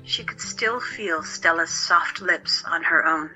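A woman's voice: on the low side, takes it slowly, pushed and bright, faint music behind, rough recording. A woman narrating a scripted story as the narrator of an audio drama.

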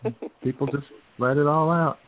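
Man, husky, slightly high pitch, casual joking radio co-host, phone-like narrow sound. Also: fairly even intonation, normal speed.

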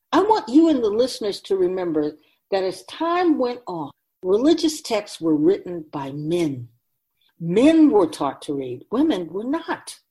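A woman voicing a wise, ancient spirit who keeps souls, teaching patiently and deliberately in a scripted radio drama. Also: medium pitch, warm tone. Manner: measured, deliberate, didactic, solemn.

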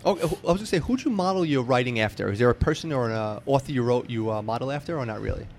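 A man's voice: mid-range voice, lively intonation, quick pace, a little hiss or hum. An American man hosting a casual interview with a fan's enthusiasm, often repeating and stammering over words. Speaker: interview host. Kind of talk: casual sports-fan chat.

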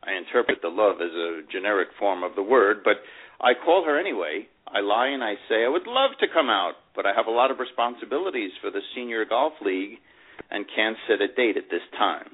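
A wary, teasing divorced man played by an actor reads his romantic memoir in a lowish voice with animated swings. It sounds boxed into a narrow phone-like band. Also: a little fast. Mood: wry, uneasy. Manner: animated storytelling with acted dialogue. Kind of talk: dramatized first-person memoir reading.

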